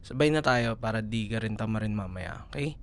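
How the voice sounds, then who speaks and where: A man with a Filipino accent playing an affectionate, teasing young college boyfriend in an intimate, softly hesitant ASMR roleplay. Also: lowish voice, expressive, a little fast, smooth. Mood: affectionate, playful.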